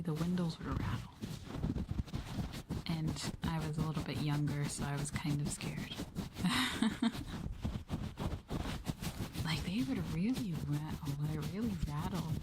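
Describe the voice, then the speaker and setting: A woman streaming ASMR, talking softly and hesitantly to a live chat, often slipping into a breathy whisper close to the mic. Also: low-pitched, moderate pace, pauses often. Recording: noisy, with clear hiss and clicks.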